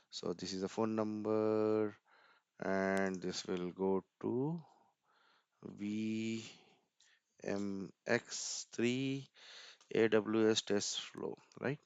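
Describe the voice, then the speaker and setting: A man's voice: fairly low pitch, slow pace, smooth, dry recording, muffled recording. A man giving an informal screen-share tech walkthrough, thinking aloud hesitantly and often repeating words. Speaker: tutorial presenter.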